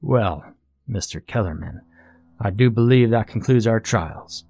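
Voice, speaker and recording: A man's voice: fairly low pitch, animated, a little slow, vocal fry, warm tone, heavily acted. A man voicing a spiteful, lawyerly devilish master of a contest in a storybook, formal and condescending in manner. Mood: haughty, final.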